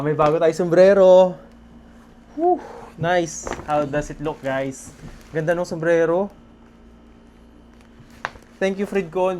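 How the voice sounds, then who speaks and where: A man with a Filipino accent vlogging casually about his day, speaking in an excitable, appreciative way. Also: on the high side. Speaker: motorcycle vlogger. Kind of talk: casual vlog talk to camera. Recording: noisy background ambience.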